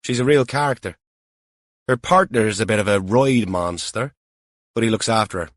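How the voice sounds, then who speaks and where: A man's voice: fairly low pitch, animated, normal speed, no echo, clean studio sound, natural voice. A man voicing a seasoned, colloquial police officer with a wry, emphatic manner in an audiobook. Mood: matter-of-fact.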